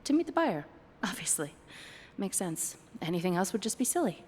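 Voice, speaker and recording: A woman's voice: medium pitch, sing-song, brisk, a little mellow, big-room sound, slight background noise, natural voice. A woman playing a flirty, playfully sarcastic date companion in a one-sided ASMR roleplay, with dry, hesitant asides. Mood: incredulous, playful.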